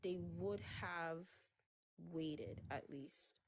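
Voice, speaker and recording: A woman in her twenties in a live debate, explaining her view in a measured, somewhat hesitant way. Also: fairly low pitch. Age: 20s. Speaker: debate participant.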